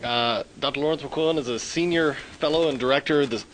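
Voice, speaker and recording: A man's voice: medium pitch, fast, a little hiss or hum, rough recording. A man moderating a conference, reading out a speaker's credits with frequent hesitations. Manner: reads a list of credits with frequent uhs. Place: conference hall.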